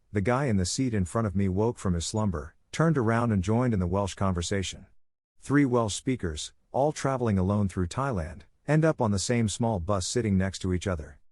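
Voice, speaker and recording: A man with a somewhat low voice reads a run of short posts aloud in scripted narration. His delivery is steady and even, and the sound is dry and close. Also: no echo. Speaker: narrator reading online posts.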